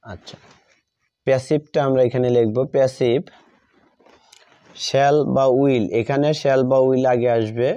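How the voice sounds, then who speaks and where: A man with a Bengali accent teaches grammar as if dictating, deliberately repeating phrases piece by piece. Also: medium pitch, fairly even intonation, mellow, smooth. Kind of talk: dictated grammar lesson, written out step by step. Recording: dull lo-fi microphone sound.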